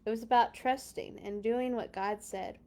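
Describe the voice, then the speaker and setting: Woman, children's read-along host, expressive storybook narration, chatty playful manner, dramatic emphasis, casual asides. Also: on the high side, moderate pace.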